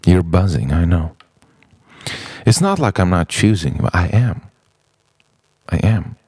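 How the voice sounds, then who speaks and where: A man narrating an introspective spoken-word monologue in halting, musing phrases full of rhetorical questions. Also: deep voice, animated, a little mellow, slightly rough, dry recording, clean studio sound. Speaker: narrator of a personal art film.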